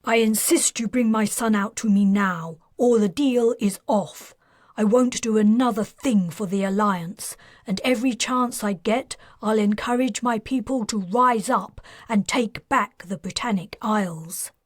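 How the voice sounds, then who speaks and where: A woman voicing an imperious, tantrum-prone female leader who makes loud demands. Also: mid-range voice, unhurried, dry recording, studio quality, natural voice. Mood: furious.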